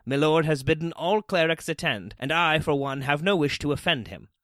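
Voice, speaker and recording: A man's voice: fairly high pitch, normal speed, a bit bright, dry recording, pitched above the performer's own voice, natural voice. A man playing a nervous, evasive priest who speaks in a formal, placating manner. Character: nervous, evasive brown-robed male priest, mercenary.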